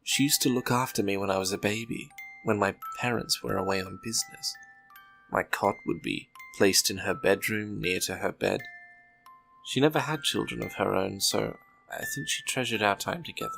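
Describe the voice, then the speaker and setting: A man with a fairly low voice and plenty of fry in it. He presents a fiction podcast and reads stories from a script. Soft music plays faintly behind him. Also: normal speed. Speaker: podcast host and story reader.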